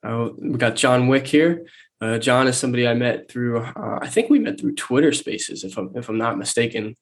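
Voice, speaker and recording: Man, podcast host, nasal stuffy cold voice, mid pitch, rambling casual talk. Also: lively intonation, normal speed. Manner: loose and rambling, with many fillers.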